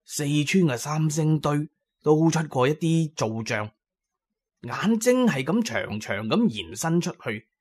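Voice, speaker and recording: A man's voice: a little high, big pitch swings, measured pace, darkish tone, slightly rough, heavily acted. A man with a Cantonese accent voicing a kindly, learned elderly professor who lectures patiently in an audio story. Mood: earnest, explaining.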